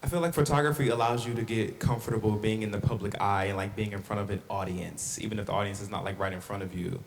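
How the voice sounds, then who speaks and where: A man's voice: lowish voice, steady tone, fast, reverberant. A man hosting a discussion podcast, opening with upbeat introductions and then asking his guests questions. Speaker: host.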